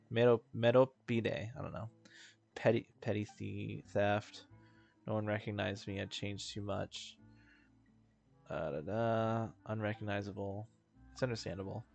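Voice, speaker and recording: A man with a low, creaky voice gives casual video game commentary over game music. He mumbles asides to himself and reads dialogue aloud, stumbling over words as he goes.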